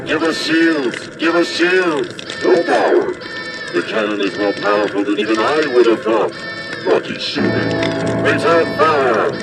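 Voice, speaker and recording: A man's voice: mid-range voice, animated, measured pace, over loud music, poor audio. A man playing a vengeful, obedient alien warrior officer with an intense, formal military manner in a science fiction audio drama. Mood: desperate.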